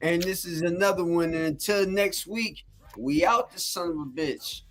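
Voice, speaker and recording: A man co-hosting a casual podcast, hyping the channel with a drawn-out "man" at every turn. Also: high-pitched, a bit bright, faint music behind. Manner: hyped, promotional, drawn-out "man". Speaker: podcast co-host.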